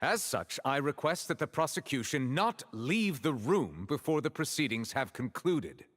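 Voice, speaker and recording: An actor voicing a stern, formal chief justice who lays out a case in a video game. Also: male voice, mid-range voice, sing-song, fairly bright tone, no room sound. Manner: measured, formal, deliberate.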